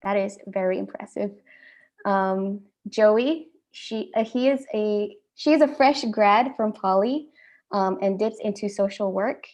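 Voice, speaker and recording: A young adult woman with an Indian accent, hosting an online panel talk in a friendly, measured way with frequent ums. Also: mid-range voice, a little mellow. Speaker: host.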